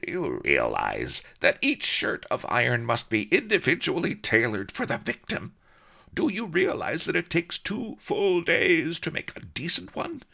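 Guy putting on a grumpy overworked executioner, high whiny complaints, swooping all over the place. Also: huge pitch swings, unhurried, gravelly, bright, forceful tone, exaggerated voice. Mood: aggrieved. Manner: whining, indignant complaining. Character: fat grumbling male executioner, aggrieved, overworked.

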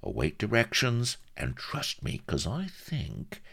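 Audiobook shyster: shifty, unctuous schemer, wheedling and colloquial, pitched well up with big swoops. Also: male voice, huge pitch swings, takes it slowly, vocal fry, bright, forceful tone, husky, heavily acted. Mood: sly, wheedling.

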